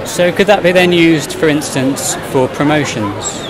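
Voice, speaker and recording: A man's voice: fairly high pitch, animated, a little slow, rough recording. A man interviewing a guest for trade media, putting prepared questions at an exhibition. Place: busy exhibition hall. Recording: busy trade-show crowd noise. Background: crowd hubbub.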